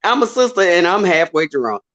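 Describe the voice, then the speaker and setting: A woman's voice: on the low side, very animated, normal speed, a bit bright. A woman with a Southern US accent, calling into a show and chatting emotionally, laughing often. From Southern United States. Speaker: caller. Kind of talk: casual call-in chat.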